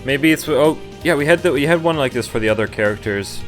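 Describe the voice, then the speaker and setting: A man's voice: medium pitch, animated, fast. A man giving casual live commentary as a game streamer, muttering wry, mildly exasperated asides. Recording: music playing behind the voice. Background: video game music.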